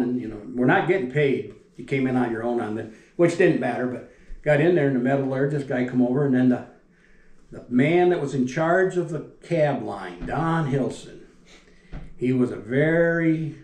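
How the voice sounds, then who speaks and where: An older man spins plain-spoken stories about his years on the factory floor, wandering through details and letting his voice sink low at the ends of thoughts. He sits at a dining room table, picked up by a camera across from him, and the room lends an echo to his mid-pitched voice. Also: lively intonation, normal speed. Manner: rambling, folksy, restarts and trails off quietly. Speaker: retired factory welder telling stories. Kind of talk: casual storytelling. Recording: echoey room.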